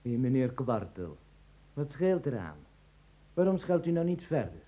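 A man's voice: mid-range voice, sing-song, measured pace, warm tone, reverberant. An actor playing a mocking, playful man who trades absurd banter in a radio play.

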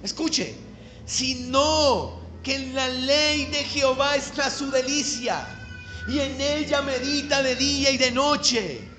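Pastor from Colombia's Caribbean coast, very high ringing voice, emphatic sermon delivery in an echoey church hall. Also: male voice, sharp tone, faint music behind. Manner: emphatic, slows down to stress key phrases, calls for congregation response.

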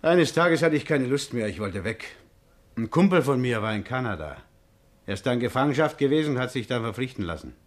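An actor in a 1950s German radio crime drama playing an anxious, hot-tempered working-class man who tells his story haltingly. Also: medium pitch, very animated, natural voice. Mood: uneasy, confiding.

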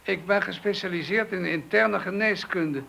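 An actor playing an arrogant middle-aged surgeon being questioned by the police, scornful and dismissive, in a radio play. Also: male voice, high voice, moderate pace, creaky, sharp tone, a little hiss or hum, full character voice. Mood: haughty. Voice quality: haughty, scornful.

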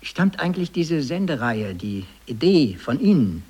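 An actor playing an earnest, stiff male doctor in a radio drama, husky and formal, slightly unsure. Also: mid-range voice, sing-song, a little slow, background noise.

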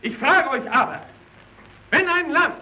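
High, fiery worker-orator. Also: male voice, expressive, measured pace, sharp tone, full character voice, low-quality recording. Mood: heated. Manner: declamatory, insistent oratory. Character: fiery Soviet worker arguing at a party meeting. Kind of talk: vintage German radio play acting.

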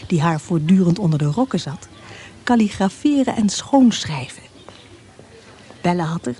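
A woman narrating a radio play, reading scripted descriptions in a measured, matter-of-fact way. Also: lowish voice, mellow, slight background noise, lightly acted. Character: measured female storyteller reading descriptions and life histories.

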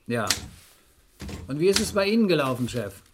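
An actor playing a dutiful, dryly teasing police assistant, matter-of-fact, in a German radio crime play. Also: male voice, fairly high pitch, sing-song, moderate pace, noisy background.

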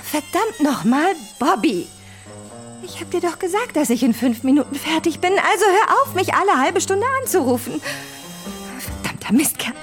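Blonde movie diva, really high voice, jumps all over, swears and frets, radio play. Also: female voice, big pitch swings, moderate pace, a bit bright, faint music behind. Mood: exasperated, irritated. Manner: volatile, swearing. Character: exasperated, foul-mouthed blonde female film star, lonely underneath. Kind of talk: radio drama acting.

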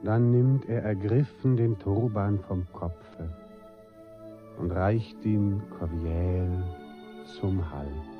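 A man with a low voice narrates an old radio drama slowly and solemnly. The scripted delivery is measured, on a dull 1950s recording with orchestral music clearly behind him.

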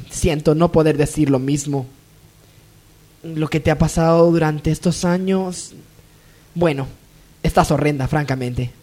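A woman playing a haughty, spiteful lady of the hacienda in a radio soap, sharp-tongued and disdainful. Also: low-pitched, measured pace, long pauses. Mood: haughty, dismissive. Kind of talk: radionovela melodrama acting.

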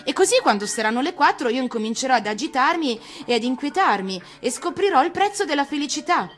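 A woman voicing a gentle, earnest female fox who explains things patiently in an expressive storybook manner. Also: high voice, fast, faint music behind, pitched above the performer's own voice. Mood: eager, happy.

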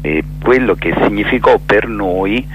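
A middle-aged man, speaking reflectively and haltingly as a theatre director interviewed over a telephone line. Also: lowish voice, lively intonation. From Naples, Italy. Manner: reflective, halting, repeats and rephrases words while searching. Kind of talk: spontaneous phone interview. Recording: telephone line with faint hiss and hum.

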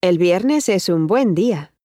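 A woman acting a friendly part in a scripted language lesson, modeling each phrase with clear enunciation. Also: lowish voice, lively intonation, slow pace, warm tone, no echo, studio quality. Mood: friendly, expressive. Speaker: model speaker in a language course.

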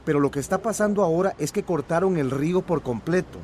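A man's voice: a little high, fast talker, darkish tone, slight background noise, natural voice. An actor playing an earnest village man determined to take action, in a radio drama. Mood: resolute.

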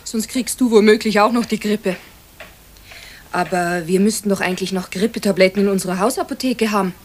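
Woman acting caring Bavarian mother, practical, mid-pitched, children's radio play, faint background hiss. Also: a little fast, natural voice. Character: brisk, caring housewife and mother. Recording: faintly hissy old radio recording.

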